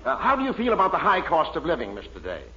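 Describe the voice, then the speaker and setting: An actor playing an earnest, awestruck newspaper reporter in a 1940s radio comedy, recorded with an audience on muffled, noisy equipment. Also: male voice, on the high side, wildly swooping pitch, fast talker, a crowd in the background. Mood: earnest, inquiring. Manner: deferential, admiring.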